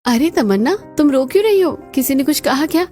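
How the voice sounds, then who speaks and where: A caring young sister-in-law, acted by a woman, speaks reassuringly in cartoon dialogue. Her voice is fairly high, and faint music plays behind. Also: lively intonation, a little fast, dark tone. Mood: concerned.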